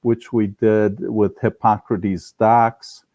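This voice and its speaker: A man's voice: fairly low pitch, level tone, takes it slowly, dark tone, dry recording, lo-fi sound. An older man, speaking conversationally and earnestly as an interview guest, often restarting his sentences. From Romania-born, raised in Cleveland, US. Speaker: interview guest, business founder.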